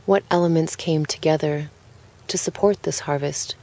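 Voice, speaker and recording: A woman with a low-set voice narrates a guided relaxation. Her delivery is calm and gentle, moving without hurry and pausing between short phrases as in a scripted meditation. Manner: calm, unhurried, gently spoken with pauses.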